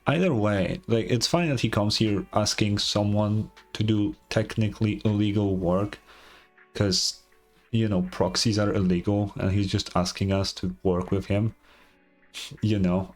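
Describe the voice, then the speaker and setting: A man's voice: on the low side, normal speed, darkish tone, soft background music. A young man doing casual, offhand game commentary with some swearing, also reading game text aloud. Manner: offhand, profane. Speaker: game streamer.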